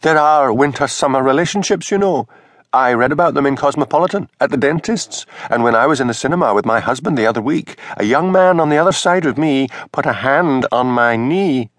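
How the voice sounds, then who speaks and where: A man's voice: expressive, fairly quick, dry recording, close to an everyday voice. A man voicing a respectable, tweedy minister's wife in her fifties who speaks in a stiff, indignant tone. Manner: indignant and stiff, high-pitched.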